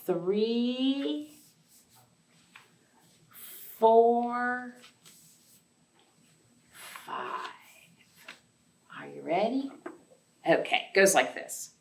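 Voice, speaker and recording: A woman's voice: on the high side, animated, drawn-out words, creaky, pauses often. A woman hosting a children's storytime, speaking in a sing-song way and putting questions to young listeners, recorded in an echoey room at a distance from the microphone. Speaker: library storytime host. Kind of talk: children's read-aloud storytime with counting rhymes. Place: echoey indoor room, away from the mic.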